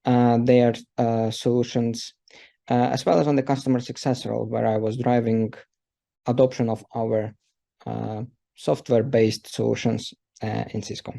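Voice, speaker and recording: A man in his thirties from Ukraine presents a technical session for an audience. His voice is gravelly and mellow, with a low, dark color. He talks loosely, filling gaps with uh and um. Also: little pitch movement, moderate pace. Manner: loose, filler-heavy speech with many uh and um. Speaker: presenter, systems engineer.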